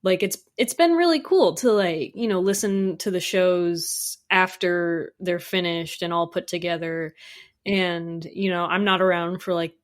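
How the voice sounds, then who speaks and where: A woman's voice: fairly low pitch, a little slow. A young adult woman speaking as a podcast guest in informal, casual interview chat, peppered with conversational filler words. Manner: informal, frequent "like" and "you know".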